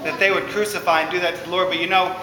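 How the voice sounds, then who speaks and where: A man's voice: high voice, flat delivery, racing. A man presenting at a church, talking informally to an audience. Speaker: presenter at a church puppet show. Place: church hall. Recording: echoey noisy hall.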